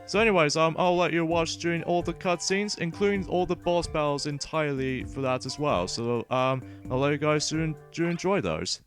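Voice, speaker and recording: A man's voice: on the high side, moderate pace, few pauses. Rambling man hosting a let's play. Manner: wordy, rambling, stumbles over words. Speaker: host of a gaming let's play. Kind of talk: gameplay commentary and read-aloud narration. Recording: music playing behind the voice. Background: video game music.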